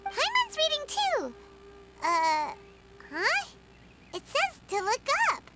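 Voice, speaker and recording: A woman voicing a tiny, excitable, childlike fairy companion in an anime game, exclaiming as she talks. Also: very high-pitched, takes it slowly, a little hiss or hum, heavily acted. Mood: puzzled. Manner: speaks of herself in the third person, exclamatory.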